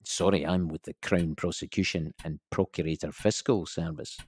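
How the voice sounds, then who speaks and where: Low-voiced, officious male investigator. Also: fairly even intonation, moderate pace, slightly rough. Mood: measured, official. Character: dry, officious male investigator of a suspicious death. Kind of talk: audio drama monologue.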